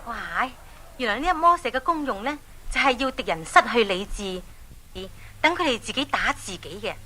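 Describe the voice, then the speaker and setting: A woman with a Hong Kong accent narrating a dramatised children's story in an exclamatory, animated way. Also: a little high, moderate pace, noisy background.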